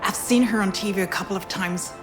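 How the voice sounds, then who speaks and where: An actress playing a polite, tactful hospital professional in video game dialogue. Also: female voice, mid-range voice, quick pace, lightly acted. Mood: tactful, admiring. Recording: music behind the voice.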